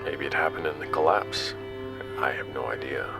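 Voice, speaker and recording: Sci-fi audio drama narrator: male actor as self-deprecating explorer, very low register, conversational storytelling over soft music. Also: fairly even intonation, moderate pace. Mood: hushed wonder. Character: young male explorer recounting his expedition, awed and casual. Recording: music under the voice.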